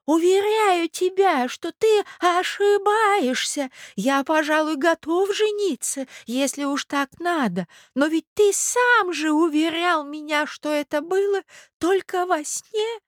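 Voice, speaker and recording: A woman voicing a bewildered, genteel old prince in a literary audiobook, plaintive and childlike, with faltering, drawn-out speech. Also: very high voice, no echo, clean studio sound. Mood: befuddled, placating.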